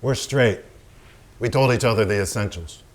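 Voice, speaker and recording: A man plays a brooding painter in a stage drama, gruff and crude. His voice is fairly deep. He talks in blunt, terse bursts with a sardonic edge. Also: normal speed, lightly acted. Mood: menacing, sardonic. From United States (the figure, Wyoming). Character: Jackson Pollock, gruff, crude, brooding American male painter. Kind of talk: theatrical staged reading.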